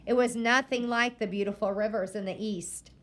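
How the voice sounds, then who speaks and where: A woman reading a novel aloud to listeners, pausing often to ask them questions about the story. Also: mid-range voice, steady tone, fairly bright tone. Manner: slow, deliberate, stopping to quiz listeners.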